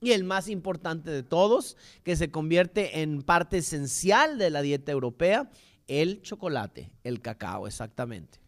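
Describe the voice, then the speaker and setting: Higher-voiced Mexican man giving a lecture. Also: very animated. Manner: rambling, halting with repeated words and self-corrections. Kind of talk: informal illustrated history lecture.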